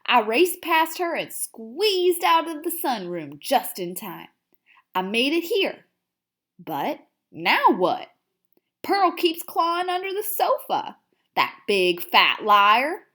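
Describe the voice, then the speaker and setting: Storybook hamster diarist, female reader: high-pitched, melodramatic, big swoops, theatrical emphasis for young listeners. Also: sing-song, full character voice. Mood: alarmed, indignant. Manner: theatrical, with drawn-out emphasis and hushed asides. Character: excitable, dramatic little hamster telling his diary. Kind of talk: expressive children's read-aloud.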